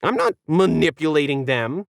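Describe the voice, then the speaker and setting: A man's voice: a little high, expressive, normal speed, gravelly, no room sound, studio quality. A man voicing a bossy, haughty female talking dog, commanding and indignant, in an audio science fiction story. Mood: defensive.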